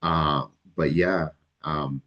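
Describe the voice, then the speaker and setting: Middle-aged man, extremely low voice, relaxed and hesitant, lots of ums. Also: highs cut off. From Barbados. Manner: halting, many ums and restarts, relaxed. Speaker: guest, licensed mental health counselor. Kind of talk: casual interview answers.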